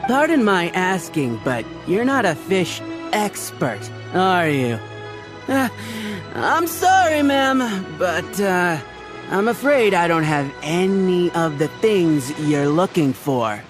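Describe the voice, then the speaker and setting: A fussy, high-voiced fishmonger. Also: male voice, slow pace, heavily acted. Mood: indignant. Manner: indignant, lively street-hawker patter. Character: fussy proud male fish seller. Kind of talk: video game cutscene dialogue. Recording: game audio with background music.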